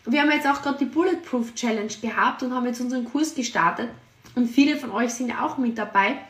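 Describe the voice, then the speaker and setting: Energetic high-voiced woman. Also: fast, reverberant, recorded from a distance. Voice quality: high, shrill voice. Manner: energetic and emphatic, piles up repeated words. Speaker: podcast host and sales team leader. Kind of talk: motivational podcast talk.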